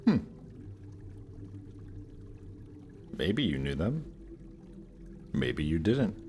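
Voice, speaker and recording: A man's voice: low-pitched, big pitch swings, slow pace, darkish tone, a little hiss or hum, heavily acted. A man playing a death god's smug, lovestruck young son in a romantic audio roleplay, talking casually and teasingly to the listener.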